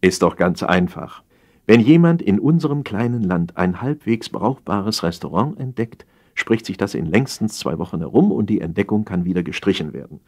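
A man's voice: on the low side, animated, moderate pace, darkish tone. A man playing an opinionated café regular in a satirical story, explaining things slyly and pompously with emphasis. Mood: lecturing. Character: opinionated male café regular, slyly lecturing his friend.

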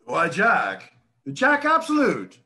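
A man playing a blustering gouty old gentleman. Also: high-pitched, wildly swooping pitch, exaggerated voice. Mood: teasing. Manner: blustering, chuckling, quick to temper. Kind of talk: stage play reading.

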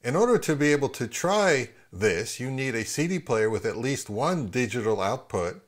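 A man's voice: medium pitch, sing-song, moderate pace. A middle-aged man with an American accent, explaining audio gear in a casual, conversational way as a channel host. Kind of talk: casual explanatory product review narration.

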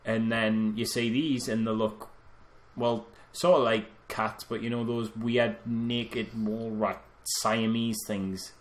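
A man's voice: fairly low pitch, steady tone, slow pace. A man co-hosting a podcast, rambling through casual, mocking film chat in an incredulous, mildly sweary way. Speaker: podcast co-host.

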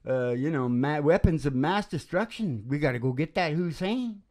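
A man's voice: fairly high pitch, lively intonation, normal speed, a little mellow. An older man bantering and ranting casually as a show host, with a sarcastic, profane, folksy manner, close on a stand microphone.

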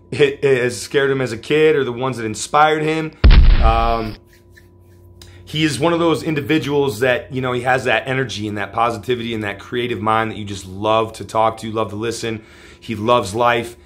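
Male host, 40s, loud and hyped, bright forceful tone, casual swearing. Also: medium pitch. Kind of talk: energetic show intro. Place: home room, solo on camera.

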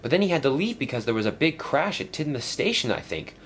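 Brief: high eager storybook girl engine, voiced by a man. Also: sing-song, fast, a little hiss or hum, close to an everyday voice. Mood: concerned. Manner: eager, caring. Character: friendly young female engine.

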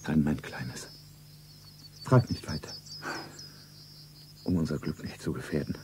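Ein Schauspieler spielt in einem Hörspiel einen reichen, lebensmüden Geschäftsmann als zärtlichen, feierlich sentimentalen Liebhaber. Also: male voice, very deep voice, unhurried, noisy background. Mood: earnest, evasive. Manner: sentimental, solemn, self-narrating. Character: rich, world-weary businessman, solemnly tender lover. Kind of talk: German radio play acting.

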